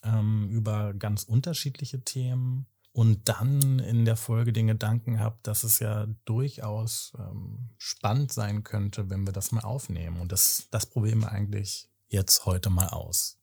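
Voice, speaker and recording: A man in his thirties from Berlin, co-hosting a podcast and explaining things in a measured, slightly formal way. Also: fairly low pitch, fairly even intonation, gravelly, dark tone, slightly rough. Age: 30s. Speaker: podcast co-host. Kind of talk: casual podcast talk.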